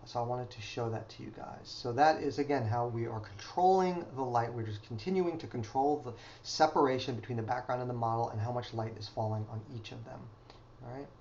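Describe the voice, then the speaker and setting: A man's voice: medium pitch, fairly quick, darkish tone, dull audio, a little hiss or hum. Man explaining studio lighting. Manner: explanatory, frequent "okay?" check-ins. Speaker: photography instructor. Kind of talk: instructional voice-over.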